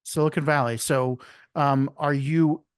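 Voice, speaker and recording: A man's voice: on the high side, a little slow, clean tone, dry recording, clean studio sound. Rambling casual male podcast host. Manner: relaxed, rambling with restarts and fillers. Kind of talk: casual interview chat.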